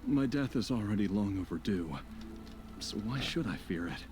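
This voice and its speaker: Game role: male actor as sickly, desperate husband, very animated, earnest and imploring. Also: lowish voice, moderate pace, natural voice. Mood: resigned. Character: desperate, devoted, ailing husband, earnest and agitated. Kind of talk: video game voice acting. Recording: game music under the voice.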